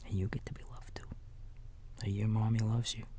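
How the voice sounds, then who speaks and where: A man's voice: fairly low pitch, little pitch movement, fairly quick, noisy background. A man whispering an ASMR bedtime story as a babysitter, soothing and casual, with rambling asides to a child listener. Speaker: ASMR roleplayer playing a babysitter.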